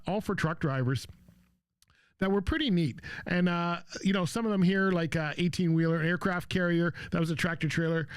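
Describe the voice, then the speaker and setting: A man's voice: fairly high pitch, clean tone, no echo. An older man hosting a radio show in a casual, rambling way, with many fillers and frequent chuckles. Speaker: radio host.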